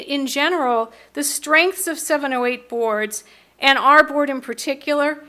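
A middle-aged woman with an American accent gives an explanatory prepared presentation at a podium microphone, pausing hesitantly at times. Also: fairly high pitch, sharp tone. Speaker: mental health board member presenting to city council. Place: large council meeting room, indoors.

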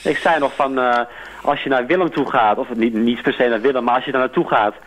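A man's voice: medium pitch, a little fast, low-quality recording. A man calling in to a radio show, chatting casually in a polite, tentative way. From Netherlands. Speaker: radio caller. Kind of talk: casual phone-in chat.

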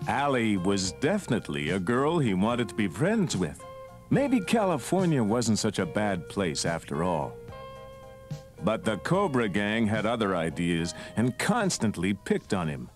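Deep-voiced animated male storybook narrator. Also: a little slow. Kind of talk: scripted read-along story narration. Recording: narration from an old cassette. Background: loud music.

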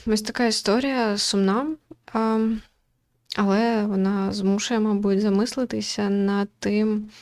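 A woman with a mid-pitched voice comments on a visual novel as its host. She talks in a casual, reflective way, hesitating and feeling her way toward the right wording. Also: darkish tone. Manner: hesitant, searching for words, thoughtful.

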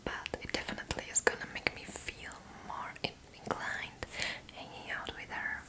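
Female, twenties, ASMR roleplay: fully whispered, breathy, gentle, close-miked. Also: normal speed. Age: 20s. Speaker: ASMR video creator playing a roommate. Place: small indoor room. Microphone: close microphone.

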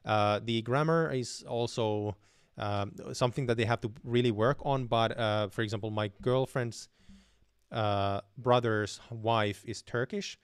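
A young man talks through viewer questions on a live stream, relaxed and full of small hesitations. He sits close to a studio microphone in a dim room at home, so the sound is dry and direct. His pitch sits in the middle range. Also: unhurried, clear, resonant tone, dry recording. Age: young adult. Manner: relaxed, many fillers and restarts, reads chat questions aloud. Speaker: channel host answering viewer questions. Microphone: studio mic on boom arm close to mouth.

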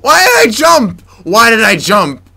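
A young man commentating his gameplay, frustrated and muttering to himself with sudden yelps, slightly raspy from yelling. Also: extremely high pitch, wildly swooping pitch, measured pace. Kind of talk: let's play commentary. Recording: faint game music behind.

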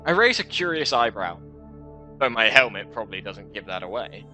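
Young man, game streamer, reads narration earnestly, animated delivery, crisp bright tone, headset mic, small room at home. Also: lowish voice, soft background music. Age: young adult. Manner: reads narration earnestly, breaks off into quick casual asides. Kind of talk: reading a visual novel aloud with asides.

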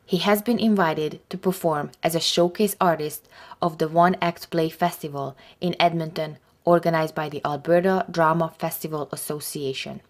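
Low woman voice, formal doc narration, steady read. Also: normal speed. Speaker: documentary narrator. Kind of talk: scripted narration.

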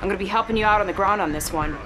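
A woman's voice: medium pitch, very fast, natural voice. A woman playing a brash, cocky young commando in a game, casual and punchy, in a noisy battlefield mix.

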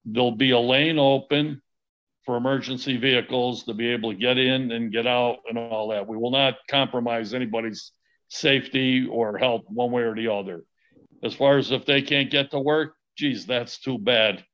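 Older man, 60s plus, blunt interviewee, mid-pitched voice, informal. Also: steady tone, normal speed, a bit bright, poor audio. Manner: blunt, dismissive. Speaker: protest organizer being interviewed. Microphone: video call.

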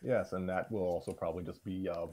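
Low-pitched man in his twenties. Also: fairly even intonation, quick pace, warm tone. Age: 20s. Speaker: host of a story-reading voice show. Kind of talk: casual chat, project update.

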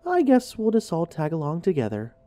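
A man's voice: on the high side, huge pitch swings, a little fast, mellow, pitched above the performer's own voice. A man voicing a sarcastic, goading young woman in a horror story, with a teasing manner. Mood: taunting.

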